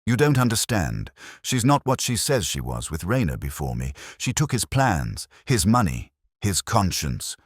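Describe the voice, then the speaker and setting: Man voicing desperate, haunted gentleman villain, deep-voiced. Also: very animated, a little slow, slightly rough, dry recording, clean studio sound, exaggerated voice. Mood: accusing. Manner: agitated, pleading, defiant.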